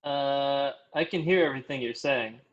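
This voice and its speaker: A man's voice: mid-range voice, moderate pace, lo-fi sound, natural voice. An actor playing a hapless, hesitant man in a comedy script table read. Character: hapless men in a comedy: sulky underling, lovelorn client.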